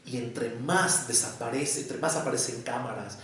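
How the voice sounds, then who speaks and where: A man with a Mexican accent, mixing Mexico City and Jalisco speech, gives a live lecture on psychoanalysis. He speaks in a measured, deliberate way, repeats his key phrases and checks in with the audience. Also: mid-range voice, a bit bright. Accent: Mexican Spanish, Mexico City mixed with Jalisco. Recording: very echoey room, mic far away.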